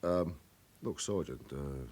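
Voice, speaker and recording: An actor playing a shifty older club owner, stammering and protesting in a radio crime drama. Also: male voice, very deep voice, slow pace, darkish tone, exaggerated voice. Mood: nervous pleading. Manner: stammering, pleading.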